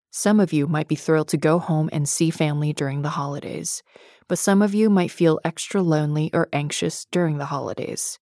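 A woman's voice: low voice, mellow, no echo, clean studio sound. A woman reading a scripted sponsor advertisement for a podcast. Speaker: sponsor ad reader.

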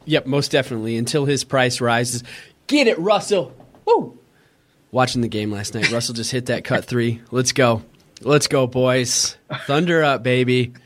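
A young man with a high, squeaky voice co-hosting a casual fantasy sports podcast, excitable and jokey. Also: normal speed, a little hiss or hum. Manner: excitable, cheers at the game, jokey. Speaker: podcast co-host.

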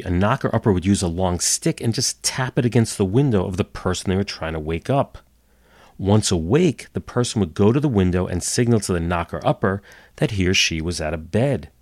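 A man's voice: low-pitched, no echo. A man hosting a storytelling history podcast, slightly nasal from a cold, with dry wry asides. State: getting over a cold. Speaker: podcast host. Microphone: home computer setup.

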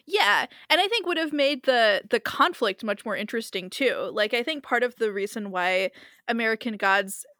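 Young woman co-host, higher voice, bright and tense, dry close sound, filler-heavy chat. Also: no room sound. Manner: fast, animated talk full of "like" fillers. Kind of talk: casual discussion.

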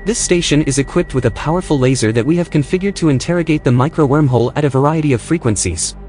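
An actor playing a literal-minded, slightly peevish station technician in a science-fiction audio drama. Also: male voice, a little high, quick pace, darkish tone, lightly acted. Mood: matter-of-fact. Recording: music bed behind the voice.

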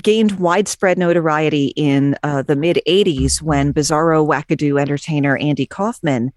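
Low-voiced woman with wry, deadpan asides. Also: normal speed, no room sound, studio quality. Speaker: podcast guest. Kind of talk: casual chat, reading facts from notes.

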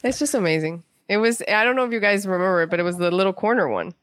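A middle-aged American woman telling anecdotes as a guest in a casual interview, chatty and quick to act out dialogue. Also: medium pitch, lively intonation, a bit bright.

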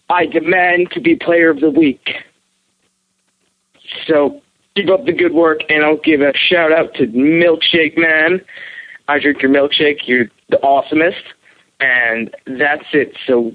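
Higher-voiced man on a thin phone line. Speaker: listener leaving a voicemail. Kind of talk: casual halting message.

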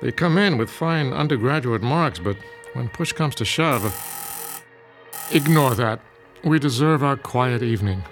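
A dignified old dean. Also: male voice, medium pitch, expressive, measured pace, soft background music. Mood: weary fond. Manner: dry, weary, dignified. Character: older distinguished medical dean, weary.

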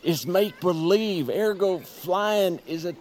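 A nosy, skeptical townsperson in a family audio drama. The voice is slightly high, fussy and opinionated, with faint ambient noise behind it. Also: male voice, unhurried, darkish tone. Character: meddling, skeptical male bird-like townsperson bystander. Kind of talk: family audio fiction acting.

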